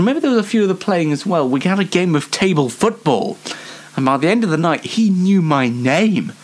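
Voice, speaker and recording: A young British man telling casual anecdotes as a show host, in a chatty, rambling and self-deprecating way. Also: on the high side. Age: young adult.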